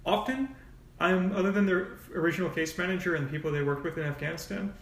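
A man's voice: high voice, fast, creaky, far from the mic. A man speaking frankly as a nonprofit volunteer coordinator in a casual podcast interview, explaining in long runs with asides. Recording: echoey room, off-mic.

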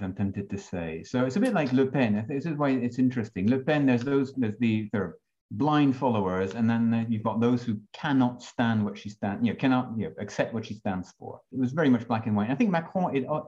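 A man's voice: mid-range voice, lively intonation, brisk, darkish tone, muffled recording. A French-born man on a discussion panel, talking with many restarts and fillers. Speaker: panel guest.

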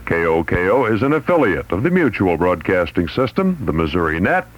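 A man's voice: low-pitched, very animated, a little fast. A man reading a formal scripted sign-off as a station announcer on an old AM radio recording. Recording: dull lo-fi AM radio aircheck.

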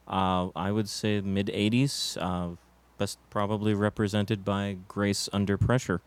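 A man playing a musician in a deadpan mock interview, halting and stammering, earnest but evasive. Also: lowish voice, no echo. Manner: hesitant and full of fillers. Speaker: interviewed musician in a comedy sketch.

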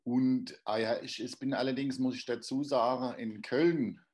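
A playwright with a Hessian accent, chatting in an interview and often searching for words and restarting. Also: male voice, mid-range voice, fairly even intonation, a little slow, darkish tone. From Darmstadt, Germany. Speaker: playwright guest. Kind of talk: casual podcast interview.

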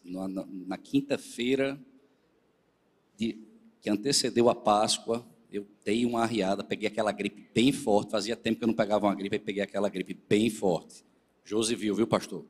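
Slightly nasal preacher in a hall. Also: male voice, fairly low pitch, fairly even intonation, normal speed, slightly rough. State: still a bit hoarse after the flu. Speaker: presbyter leading a church service. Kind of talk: sermon with scripture reading.

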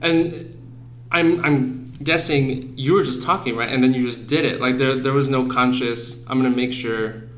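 A man's voice: mid-range voice, fairly even intonation, normal speed, fairly bright tone, echoey room. A man hosting a podcast interview, chatty and enthusiastic, with lots of fillers. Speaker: podcast host. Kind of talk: casual interview conversation.

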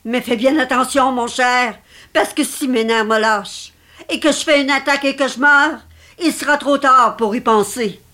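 A woman's voice: high voice, moderate pace, pushed and bright. An actress playing an elderly, possessive mother, theatrical and self-pitying, in a dramatic script reading. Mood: scolding. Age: older. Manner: theatrical, reproachful, martyr-like.

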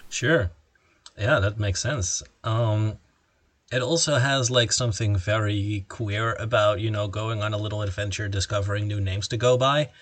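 Man, podcast host, fairly low voice, dry sound, playful questions. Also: steady tone, moderate pace, fairly bright tone, no room sound. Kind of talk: casual interview chat.

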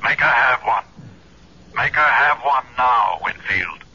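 A man's voice: mid-range voice, lively intonation, slow pace, bright, forceful tone, slight background noise, exaggerated voice. An actor playing a ruthless, curt businessman villain in a radio mystery, commanding and menacing. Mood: cold menace. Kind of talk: 1970s radio mystery drama acting. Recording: muffled telephone voice on old radio recording.

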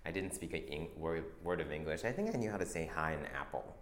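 A young man who grew up in Taiwan, a fashion designer answering interview questions in a soft, halting way with many restarts. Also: extremely low pitch, animated. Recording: echoey room with faint ambience.

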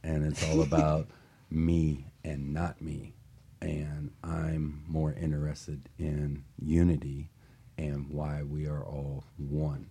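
A man hosting a radio talk show, sharing his views in a halting, reflective way with repeated words. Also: extremely low pitch, little pitch movement, mellow, breathy edge.

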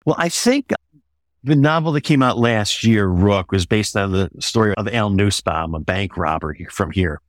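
A man's voice: lowish voice, moderate pace, no echo. Male author in a dry, close interview. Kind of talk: interview conversation about own writing.

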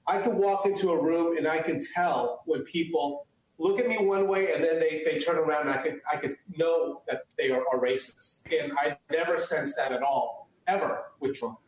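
Male interview guest, native Chinese speaker, earnest with frequent restarts, slightly high voice, very echoey room. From United States. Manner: earnest, many restarts and fillers. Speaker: guest, photographer. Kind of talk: interview answers.

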